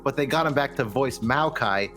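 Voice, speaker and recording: A man's voice: mid-range voice, normal speed, pushed and bright. Man chatting casually, music underneath. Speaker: podcast guest. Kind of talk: casual podcast discussion.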